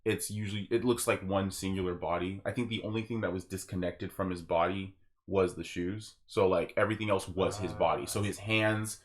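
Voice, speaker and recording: A man's voice: deep voice, fairly even intonation, brisk. A man chatting casually on a group podcast as a host, explaining his opinions.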